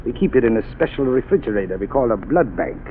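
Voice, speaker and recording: A man's voice: medium pitch, animated, quick pace, phone-quality sound, slight background noise, lightly acted. An actor playing a cold, boastful male sanitarium doctor who turns out to be a killer, in a 1930s radio drama. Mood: smug. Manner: cold, smug, menacing.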